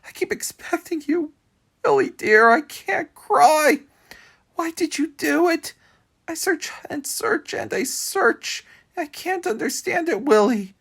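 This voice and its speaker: A man's voice: very high-pitched, vocal fry, fairly bright tone, voice pushed up, full character voice. A man voicing a grieving middle-aged widow who speaks in broken, sobbing phrases. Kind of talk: reading a play aloud.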